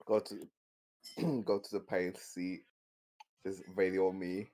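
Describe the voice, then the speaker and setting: A man's voice: low-pitched, level tone, takes it slowly, dark tone, rough recording. A man taking part in a tabletop game, chatting casually as one of the players. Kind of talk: casual chat.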